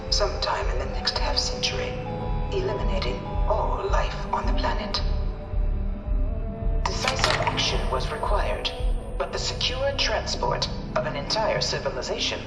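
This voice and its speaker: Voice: mid-range voice, big pitch swings, moderate pace, close to an everyday voice. An actor of unclear sex playing a stately, dramatic museum history narrator in a space game. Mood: solemn, grand. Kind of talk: scripted museum exhibit narration. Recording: echoey hall, loud music.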